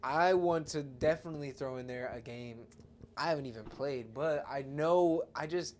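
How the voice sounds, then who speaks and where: A man with a fairly high voice talks as a podcast co-host in an informal, slangy way. Some background noise sits behind him. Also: moderate pace, a little mellow. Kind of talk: casual podcast chat.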